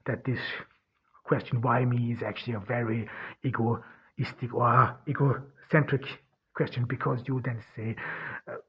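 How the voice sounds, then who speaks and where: A man whose first language is German, talking through a personal monologue as a podcast host in a halting, earnest way, restarting and searching for words. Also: mid-range voice, level tone, breathy edge. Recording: dull lo-fi recording.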